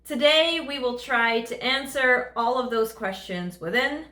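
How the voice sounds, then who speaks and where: A woman's voice: a little high, a little slow, sharp tone. A young Dutch woman with a light Dutch accent, hosting a chatty, giggly Q&A vlog with her partner. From Netherlands. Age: 20s. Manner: giggly, laughs often, chatty. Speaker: vlogger. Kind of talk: casual Q&A chat.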